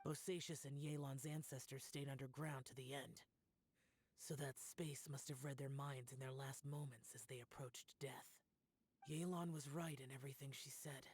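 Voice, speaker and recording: An actor playing a solemn, youthful-sounding ancient warrior spirit in a video game, grave, measured and reserved. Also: male voice, a little high, no echo, lightly acted. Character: solemn ancient yaksha warrior, youthful male, brooding and stoic.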